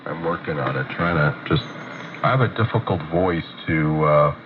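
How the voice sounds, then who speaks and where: A man's voice: extremely low pitch, lively intonation, moderate pace. A man with a congested, muffled voice, recovering from a cold, chatting casually as a ham radio operator. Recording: tinny radio receiver with static.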